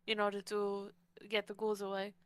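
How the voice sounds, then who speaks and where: A woman's voice: medium pitch, completely flat delivery, moderate pace, clear, resonant tone, dry recording, clean studio sound. A woman playing a tabletop roleplaying game, talking casually through plans with the group. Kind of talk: casual in-game planning chat.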